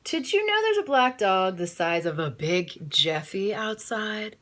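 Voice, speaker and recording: A woman's voice: medium pitch, sing-song, measured pace, a bit bright. A woman voicing a bossy, worried little boy in a children's storybook. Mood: urgent. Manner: demanding, exclaiming.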